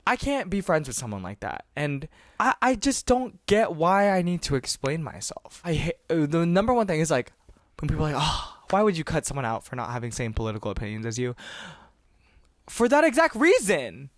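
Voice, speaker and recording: A man's voice: fairly high pitch, very animated, fairly quick, no room sound, clean studio sound. A man in his twenties, a podcast host raised in Texas and California, rambling passionately in a casual monologue with some swearing.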